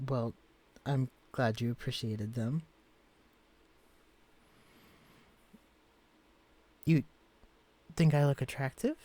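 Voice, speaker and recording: Guy voicing a shy tiny young man in a romance audio, soft and stammery, warm mellow voice, mid pitch. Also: lightly acted. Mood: bashful. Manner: soft-spoken, hesitant, stammering when flustered. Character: gentle, shy, shrunken young man in love. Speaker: character in a listener-directed romance audio. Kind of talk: audio roleplay drama.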